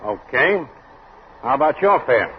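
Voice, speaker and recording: An actor playing an impatient city bus driver, curt and slangy, barking orders in a 1940s radio comedy. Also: male voice, mid-range voice, big pitch swings, a little slow. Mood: irritated. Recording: dull, faintly noisy 1940s radio recording.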